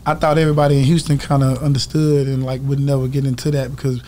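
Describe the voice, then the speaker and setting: A man's voice: medium pitch, flat delivery, quick pace, darkish tone, a little hiss or hum. A man chatting casually as a podcast guest, in slangy, colloquial talk, often repeating himself. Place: small podcast studio. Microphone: close studio mic.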